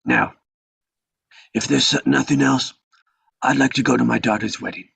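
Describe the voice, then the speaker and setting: Man acting a gravelly, stern old mafia don. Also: medium pitch, sing-song, moderate pace, a bit bright, no room sound, full character voice. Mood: businesslike. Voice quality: gravelly, husky. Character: stern, commanding old male mafia patriarch. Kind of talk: live table read of a film script.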